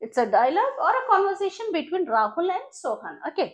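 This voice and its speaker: Quick, lively woman teacher with a Telugu accent. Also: very high-pitched. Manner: quick, chatty, constantly checking in with "right?". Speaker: English teacher. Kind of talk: bilingual classroom explanation.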